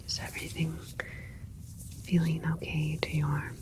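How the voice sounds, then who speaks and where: Casting: woman as gentle alien doctor for sci-fi ASMR roleplay; whispered, unhurried, soothing and clinical. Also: sits low, monotone. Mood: soothing, attentive. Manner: soft, careful, soothing, clinical phrasing. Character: gentle alien scientist doctor tending a newborn plant hybrid.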